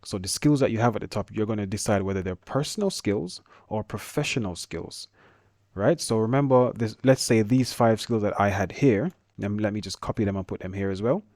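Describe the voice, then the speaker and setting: A man with a Jamaican accent teaching a screen-share lesson, talking quickly with stutters and restarts. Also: on the low side, a little mellow, dry recording, studio quality.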